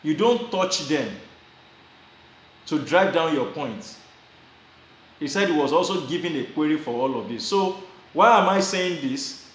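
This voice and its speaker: A man's voice: on the high side, reverberant, a little hiss or hum, low-quality recording. A Nigerian man giving casual advice to his viewers, often repeating and restarting his phrases with small chuckles. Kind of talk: casual advice talk to camera.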